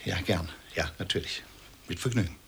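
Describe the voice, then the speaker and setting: Radio drama: exacting gentleman painter, eager and courteous, low-pitched with a husky edge. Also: fairly quick, exaggerated voice. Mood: courteous, particular. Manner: effusively polite, fussy, insistent. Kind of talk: radio play dialogue.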